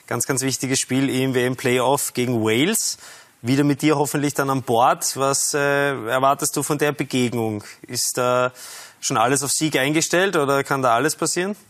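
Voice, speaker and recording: A man asking a footballer interview questions in a measured way, with slight hesitations. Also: medium pitch, fairly bright tone, no echo.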